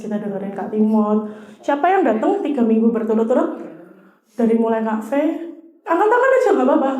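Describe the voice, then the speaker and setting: A woman's voice: sits high, a little mellow. A woman in her twenties giving a casual, chatty youth sermon in church, nervous and self-deprecating. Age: 20s. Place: church hall, live audience.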